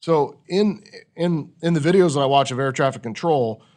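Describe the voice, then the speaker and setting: A man's voice: on the high side, normal speed, a little mellow. A man hosting a podcast in a conversational coaching style, instructive and fond of rhetorical questions. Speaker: podcast host leading a discussion.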